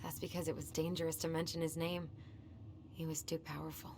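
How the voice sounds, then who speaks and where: A woman acting in a video game as a practical, playful young American woman, speaking in a conversational, gently coaxing way. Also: low voice, flat delivery, brisk. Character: practical, playful young woman, supportive sibling and puzzle lover. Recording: noisy streamed game audio.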